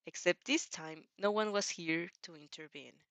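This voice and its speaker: A woman who is a non-native English speaker, hosting a casual fan fiction read-aloud with an informal, halting, giggly delivery and self-corrections. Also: fairly low pitch, a bit bright, no room sound. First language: not English. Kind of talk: casual reaction chat and story reading.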